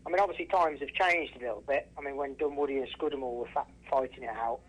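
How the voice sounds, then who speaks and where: A man's voice: mid-range voice, fast, slightly rough. A man from England giving a casual interview over the telephone, restarting often and repeating himself for emphasis. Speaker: racehorse trainer and former jockey, interview guest. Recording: telephone line, radio-style call.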